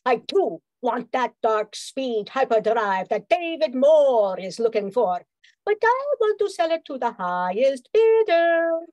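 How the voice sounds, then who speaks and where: A woman's voice: high-pitched, very animated, a little slow, darkish tone, no echo. A woman playing a scheming, mercenary science-fiction doctor in an amateur radio play. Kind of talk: amateur old-time radio play acting.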